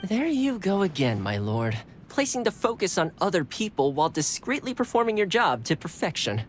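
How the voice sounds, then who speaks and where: Very expressive young male retainer. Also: high-pitched, normal speed, a little hiss or hum. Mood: fondly exasperated. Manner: courteous, expressive, wry. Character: polite young male retainer, loyal and gently exasperated. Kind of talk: anime game voice acting.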